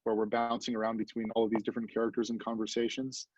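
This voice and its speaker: A man's voice: lowish voice, monotone, quick pace, a little mellow, dry recording. Man, writer, casual explanation, dry sound, dull lo-fi recording. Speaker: writer in a theatre collective.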